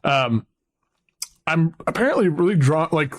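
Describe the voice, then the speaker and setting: Man, podcast host, deep voice, rambling casual chat, dry close mic. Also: lively intonation, a little slow, no room sound. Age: adult, a parent. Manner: rambling, chatty, frequent fillers and restarts. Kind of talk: casual conversation about music.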